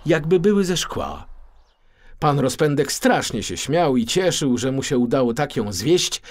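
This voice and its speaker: A man reading a children's story as an expressive, theatrical audiobook narrator. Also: mid-range voice.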